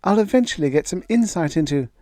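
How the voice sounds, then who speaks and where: A woman's voice: fairly low pitch, expressive, fast, no echo, clean studio sound, pitched above the performer's own voice, lightly acted. A man reading aloud, voicing a young woman who firmly stands up to her bigoted father. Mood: resolute. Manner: firm, quietly resolute.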